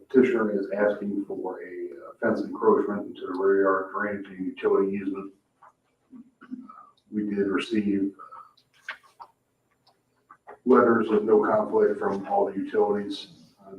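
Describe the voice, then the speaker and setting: A man with a lowish, flat voice reads out a procedural report to a board. He is matter-of-fact and halting, heard from a distance in a reverberant room with some background noise. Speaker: town staff member presenting to a board. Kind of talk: procedural staff report at a public meeting. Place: indoor municipal meeting room. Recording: muffled, echoey, noisy room, distant mic.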